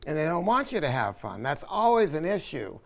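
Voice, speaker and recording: Emphatic American man in his sixties, slightly higher-pitched. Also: big pitch swings, brisk, gravelly. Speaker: host, audio dealer. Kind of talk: enthusiastic product discussion. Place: indoor audio showroom.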